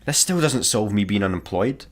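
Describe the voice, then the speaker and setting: An actor with a Scottish accent playing a daft, easygoing janitor in a sci-fi comedy radio play, casual and obtusely deadpan. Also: male voice, fairly low pitch, moderate pace. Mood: wry, casual. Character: daft, easygoing, literal-minded male slacker janitor.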